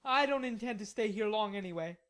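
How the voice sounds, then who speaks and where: A woman voicing a proud, hot-tempered young gentleman who speaks indignantly and defiantly. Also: medium pitch, a little fast, lightly acted.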